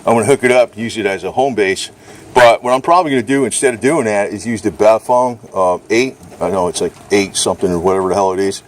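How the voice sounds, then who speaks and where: A man's voice: fairly low pitch, a little hiss or hum. A man talking in an informal, rambling, mildly profane way, like a casual show-and-tell vlog about gear. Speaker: vlogger reviewing radio gear.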